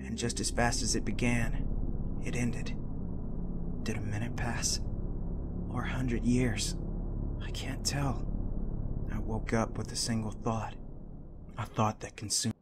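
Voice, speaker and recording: An actor playing an earnest, intense, newly awakened angel soldier with brooding, dramatic delivery in a visual novel game. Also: male voice, medium pitch, flat delivery, takes it slowly, long pauses, very noisy, lightly acted. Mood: brooding, awed. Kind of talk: visual novel voice acting, introspective narration. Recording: music behind the voice. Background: background music.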